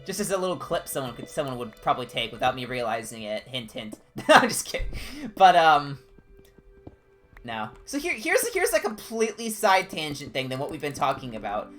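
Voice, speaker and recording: Animated man rambling on stream. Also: normal speed, soft background music. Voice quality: higher-pitched male voice. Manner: animated, discursive, jumping between tangents. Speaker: game streamer. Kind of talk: casual rambling livestream chat during gameplay.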